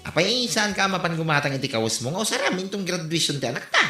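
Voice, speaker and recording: Over music in an echoey room comes a performer acting out a nagging, teasing Ilocano comedy character. The delivery jumps widely in pitch, full of questions and exclamations. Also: very animated, fast, sharp tone. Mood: incredulous.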